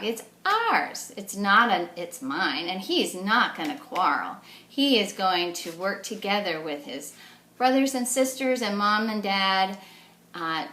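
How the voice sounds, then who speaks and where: A woman's voice: mid-range voice, sharp tone, echoey room. A woman reading a storybook aloud to young children as their teacher, explaining things simply and checking in with questions.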